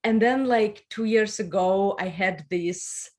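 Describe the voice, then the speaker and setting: A woman's voice: mid-range voice, slow pace, darkish tone. A woman from Ukraine with a Ukrainian accent, speaking casually as an effusive, giggly guest in an interview. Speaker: podcast guest, sex education coach.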